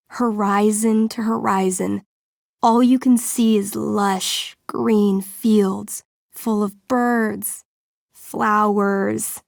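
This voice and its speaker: Young woman dungeon master from a video game, slow dramatic storytelling, playful, loves the gore, super dry studio sound. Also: medium pitch, vocal fry, no room sound. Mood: ominous, theatrical. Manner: theatrical storytelling, deliberate, savoring vivid details. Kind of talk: dramatic tabletop game narration, video game acting.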